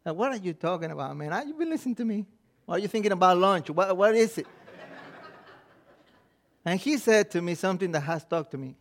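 A middle-aged Mexican-accented man preaches with a notably high voice. He tells stories animatedly, acting out the quoted thoughts of himself and others and often restarting his sentences, as a non-native speaker of English. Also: expressive, fairly quick, pauses often. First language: Spanish. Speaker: pastor. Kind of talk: sermon.

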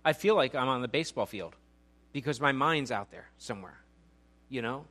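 A man's voice: mid-range voice, animated, fairly quick, a bit bright. A man in his forties preaching a sermon in a church auditorium, talking in a conversational, joking way and quizzing the congregation. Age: 40s. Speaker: pastor.

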